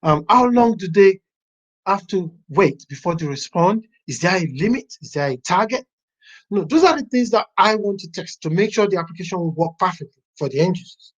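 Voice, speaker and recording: A software testing teacher walking a class through a website step by step, thinking aloud as they browse. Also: mid-range voice, animated, a little fast. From Nigeria. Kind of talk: live class walkthrough. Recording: dull lo-fi online class audio.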